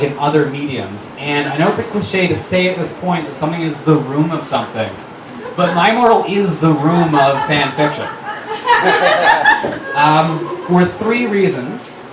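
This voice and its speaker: A man's voice: fairly high pitch, animated, normal speed, crowd noise. A man talking informally on stage in a loose, sweary way, presenting a dramatic reading of fan fiction. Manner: informal, filler-heavy, swearing. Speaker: writer-director reading on stage. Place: live stage before an audience at a fundraiser event. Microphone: stage microphone. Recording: noisy, echoey live room recorded from far off.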